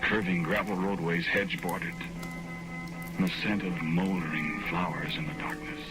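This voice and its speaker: An actor in a 1940s radio drama playing a desperate, innocent condemned man who narrates his ghostly tale in a brooding, halting, intense way. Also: deep voice, fairly even intonation, lightly acted, rough recording. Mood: haunted, brooding. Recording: old 1940s radio broadcast with music. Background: dramatic radio music.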